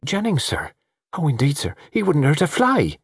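An anxious young servant girl from an audiobook, voiced by a man. She pleads respectfully in a raised, very changeable pitch. The sound is dry and close. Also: wildly swooping pitch, normal speed, creaky, slightly rough, dry recording, heavily acted. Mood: anxious pleading. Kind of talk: audiobook character dialogue.

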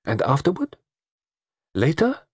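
A man's voice: a little high, huge pitch swings, measured pace, breathy edge, no echo, studio quality, pitched above the performer's own voice, full character voice. A man voicing a shrewd, precise middle-aged male detective with a put-on French accent. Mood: probing. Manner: precise, formal, probing questions. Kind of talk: audiobook character voice.

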